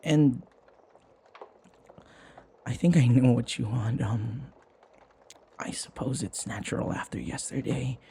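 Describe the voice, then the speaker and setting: A man playing a flustered, submissive servant in an intimate audio roleplay, hesitant and apologetic, stammering with a breathy voice. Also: medium pitch, slow, long pauses, mellow, slight background noise. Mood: shy, resigned. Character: flustered, submissive young male servant devoted to his vampire mistress.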